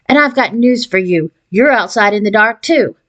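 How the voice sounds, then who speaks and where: A woman's voice: mid-range voice, lively intonation, normal speed, fairly bright tone, highs cut off, natural voice. Bossy schoolgirl big sister, acted by a woman reader, growly scolding, children's storybook. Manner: hissing, growling.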